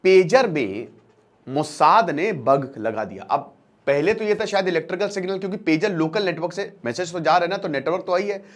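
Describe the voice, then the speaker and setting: Higher-pitched man, explainer host. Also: moderate pace. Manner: animated colloquial storyteller, emphatic repetition, rhetorical questions. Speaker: online educator and channel host. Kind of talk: informal explainer lecture with storytelling.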